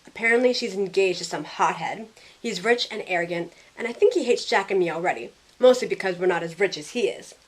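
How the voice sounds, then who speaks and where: Girl playing a sassy young modern woman telling her story, wry and chatty, audio drama heroine, mid pitch. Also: brisk, lightly acted, low-quality recording. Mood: wry narrating. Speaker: narrator and lead character. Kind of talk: first-person story narration with in-character dialogue.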